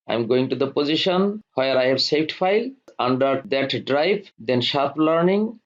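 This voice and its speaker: Guy doing a computer how-to, slightly high voice, really up and down, kinda muffled lo-fi sound. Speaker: software tutorial presenter. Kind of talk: step-by-step tutorial narration. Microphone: voice-over for screen recording. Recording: dull lo-fi voice-over.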